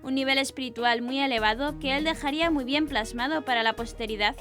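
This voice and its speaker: Woman newsreader with a high voice. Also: a little fast, fairly bright tone, faint music behind. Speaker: news presenter. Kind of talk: scripted news narration.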